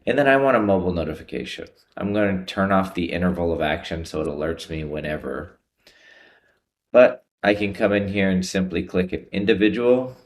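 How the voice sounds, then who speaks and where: A man presents a software tutorial, explaining each step in an informal way. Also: low voice, a little fast.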